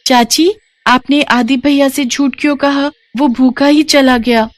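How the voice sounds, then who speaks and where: A woman's voice: high voice, steady tone, measured pace, dry recording, voice pushed up. A woman voicing an innocent, trusting little village girl, earnest and questioning in a childlike way. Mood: indignant.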